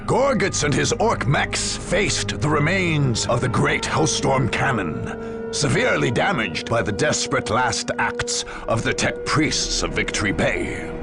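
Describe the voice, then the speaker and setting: An actor playing a grave chronicler of war, giving portentous scripted narration for a video game. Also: male voice, mid-range voice, gravelly. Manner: portentous, measured storytelling. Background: music.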